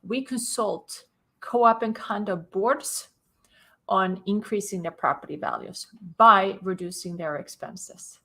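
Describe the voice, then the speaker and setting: A woman from Sweden, a guest on a video-call podcast, explaining her business story in a clear, methodical way with light laughs. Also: medium pitch, slow pace, bright, forceful tone. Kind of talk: interview storytelling.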